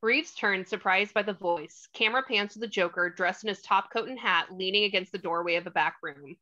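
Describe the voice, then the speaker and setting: A woman's voice: medium pitch, fairly quick, sharp tone. A woman reading stage directions as the narrator of a screenplay table read. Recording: slightly dull lo-fi audio.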